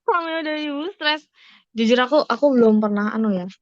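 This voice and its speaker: A woman's voice: high-pitched, animated, brisk, no echo, dull audio. A woman chatting casually and informally as a podcast guest. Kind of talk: casual chat.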